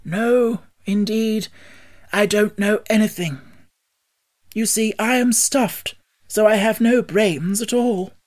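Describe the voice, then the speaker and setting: A narrator voicing a polite, husky, higher-pitched scarecrow. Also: lively intonation, a little slow, close to an everyday voice. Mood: sad. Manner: polite, plainspoken, slightly plaintive. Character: friendly, earnest straw scarecrow man, naive and polite. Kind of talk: audiobook character voice.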